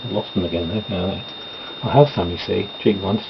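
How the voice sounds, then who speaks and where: A man's voice: sits low, brisk, gravelly. A man chatting casually and meanderingly at home while doing his makeup, with self-deprecating asides. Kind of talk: rambling casual chat. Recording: dull lo-fi home recording with noise.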